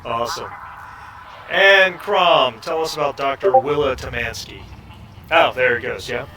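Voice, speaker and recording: A man's voice: mid-range voice, unhurried, sharp tone. A man hosting a tabletop roleplaying game as game master, talking casually in an encouraging, explanatory way.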